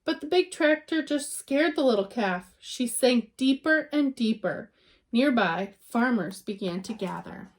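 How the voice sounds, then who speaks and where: A woman reading a children's picture book aloud in an expressive storytelling style, with dramatic emphasis and drawn-out words. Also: on the high side.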